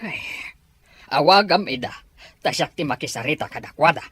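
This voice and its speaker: Husky, low old Ilocano father played by a woman. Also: expressive, normal speed, fairly bright tone, no echo, full character voice. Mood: fretful, dismayed. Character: fretful older father of grown children overseas.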